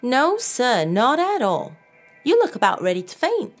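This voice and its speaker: A woman's voice: high voice, huge pitch swings, unhurried, soft background music, pitched above the performer's own voice, heavily acted. A woman voicing a sweet, flirtatious shopkeeper with a Southern accent and a syrupy drawl.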